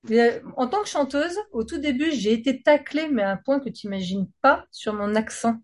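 Talkative French woman with a higher voice. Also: moderate pace. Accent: French from France. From France. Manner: talkative, informal, slangy. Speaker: singer, guest. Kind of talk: casual conversation.

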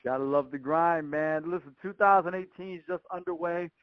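A man's voice: fairly high pitch, normal speed, no room sound. A man hosting a casual radio interview, putting questions to his guest over a muffled call line. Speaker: radio show host, interviewer. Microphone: phone or call line. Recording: muffled, dull conference-call audio.

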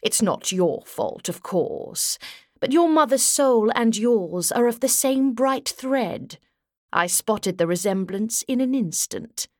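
A woman's voice: a little high, animated, slow pace, a little mellow, no room sound, studio quality. A woman voicing an elegant, vain male elf lord in a storybook, with a languid, sighing, grandly formal delivery. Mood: gently condescending.

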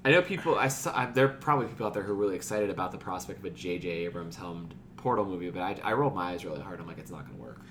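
Male podcast co-host with a fairly low voice, casually analytical, recorded with audible background noise. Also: expressive, rapid-fire, hardly any pauses, a bit bright.

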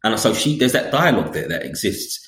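A man's voice: on the low side, very animated, fairly quick, fairly bright tone. A man in his forties co-hosting a podcast, speaking in a reflective, casual way and laughing often. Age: 40s. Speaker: podcast co-host. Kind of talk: casual conversation. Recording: roomy echo.

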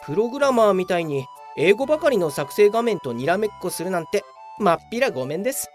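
A woman's voice: mid-range voice, very animated, quick pace, a little mellow, soft background music, natural voice. A woman playing a cocky, clueless young male office worker in a comedic radio drama, theatrical and self-satisfied. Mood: grumbling, smug.

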